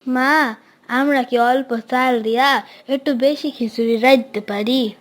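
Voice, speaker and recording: Woman as a cartoon village girl, high-pitched, slow, modest, earnest. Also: steady tone. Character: humble, kind, wise young village girl in a cartoon.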